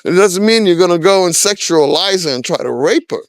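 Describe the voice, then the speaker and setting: A man's voice: high-pitched, expressive, a little fast, dry recording. A man in his sixties, originally from Trinidad and Tobago, giving candid, opinionated answers in a casual street interview, with lots of "you know.". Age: 60s.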